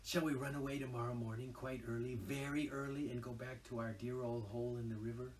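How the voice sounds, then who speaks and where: A man voicing a timid, kindly male mole who speaks in a gentle whisper. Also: mid-range voice, fairly even intonation, brisk, distant mic, a little hiss or hum, close to an everyday voice. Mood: gentle, eager. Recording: voice a little off-mic.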